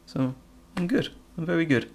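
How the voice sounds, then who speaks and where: A man's voice: a little high, moderate pace, darkish tone. A man from Belgium with a Flemish accent whose first language is Dutch, hosting a live stream in casual, stop-start chat and laughing often. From Flemish region of Belgium.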